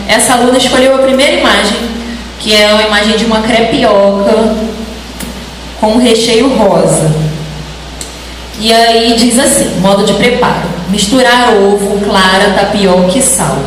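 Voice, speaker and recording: A woman's voice: on the high side, noisy background. A woman giving an academic talk to an audience, explaining patiently and often checking in with her listeners. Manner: explanatory, with frequent "né?" tags. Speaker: teacher presenting a research project. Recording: echoey hall, mic far off.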